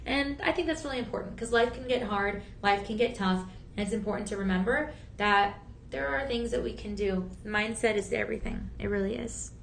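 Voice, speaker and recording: A woman hosting a shopping channel, chatty and encouraging, with a slightly raspy voice that is still healing from talking so much. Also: mid-range voice, fairly quick, a little hiss or hum. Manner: chatty, upbeat and encouraging, many fillers. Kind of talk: live TV sales pitch. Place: TV studio.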